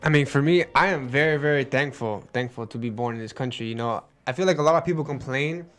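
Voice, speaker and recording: A man's voice: medium pitch, fast talker, a bit bright, soft background music. Easygoing young man on a radio show. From United States. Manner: easygoing, fillers like "you know" and "like". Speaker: guest. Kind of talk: casual radio chat.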